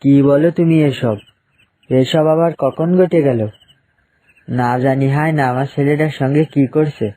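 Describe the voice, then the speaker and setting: A man's voice: a little high, steady tone, unhurried, dark tone, close to an everyday voice. A man voicing a caring, protective dinosaur father in a children's cartoon. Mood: worried, pleading.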